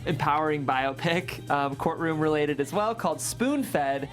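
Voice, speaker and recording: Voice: medium pitch, unhurried, over music. A host of a panel show, talking casually in a conversational interview style.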